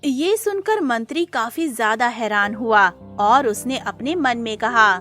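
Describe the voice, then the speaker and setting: A woman's voice: a little high, expressive, measured pace, fairly bright tone. A woman narrating a scripted cartoon moral story. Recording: music under the voice.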